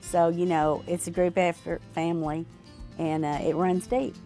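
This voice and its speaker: Low-voiced older woman, reflective and halting. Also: little pitch movement, warm tone, faint music behind. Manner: reflective, halting with many fillers. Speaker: store owner, interviewee. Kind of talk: reflective interview answers.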